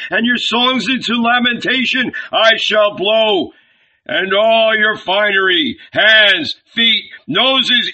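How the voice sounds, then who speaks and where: A man voicing a gaunt, fierce desert prophet who rants and shouts commands. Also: very high-pitched, voice pushed up, heavily acted. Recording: dull lo-fi audiobook recording.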